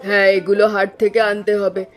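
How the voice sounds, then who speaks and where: A caring mother in an animated village story, voiced by a woman. She is middle-aged and eager, speaking at a medium pitch. Music plays behind her. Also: quick pace, natural voice. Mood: warm, planning. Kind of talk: cartoon voice acting.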